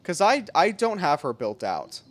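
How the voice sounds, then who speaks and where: Animated, chatty woman gamer. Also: on the low side, unhurried. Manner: chatty, playful. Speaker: game streamer. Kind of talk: casual gameplay commentary. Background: faint game music.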